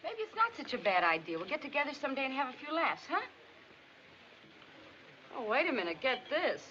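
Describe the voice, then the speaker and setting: A woman's voice: high voice, sing-song, a little fast, bright, forceful tone, heavily acted. An actress playing a tough young American showgirl, slangy, sardonic and full of wisecracks, in a 1950s film. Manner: sassy, sardonic. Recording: muffled, noisy old film soundtrack.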